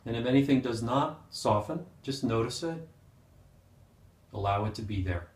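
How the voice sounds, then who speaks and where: A man leading a guided meditation as a teacher, speaking gently and unhurriedly with pauses. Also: mid-range voice, lively intonation. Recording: roomy, echoing sound.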